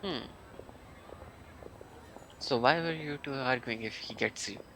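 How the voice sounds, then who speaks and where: A man casually commentating on a video game live, thinking aloud in a halting way as he reads and reacts. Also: medium pitch, background noise. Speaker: game streamer. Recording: game music behind the voice. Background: loud game music.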